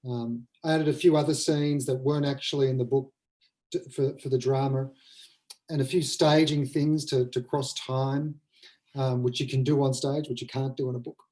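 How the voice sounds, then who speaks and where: A man talking conversationally and reflectively as a playwright, thoughtful and halting, repeating phrases as they form. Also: medium pitch, steady tone, a little mellow. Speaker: playwright adapting a novel for the stage. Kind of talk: reflective conversational talk on a webinar.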